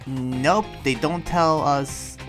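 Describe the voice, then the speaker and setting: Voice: mid-range voice, extremely slow. Hesitant game roleplayer, mid-range voice over music. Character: demon slayer trying to relax. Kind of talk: improvised in-character game roleplay. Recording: music behind the voice.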